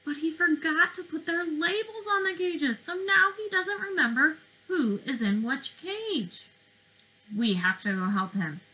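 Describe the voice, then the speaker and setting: A woman in her twenties, a speech therapist, reading to preschoolers in an animated, questioning way, recorded on a webcam in a bedroom. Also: high-pitched, unhurried, low-quality recording. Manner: animated, questioning delivery for young children. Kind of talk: interactive children's read-aloud lesson. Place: small bedroom indoors.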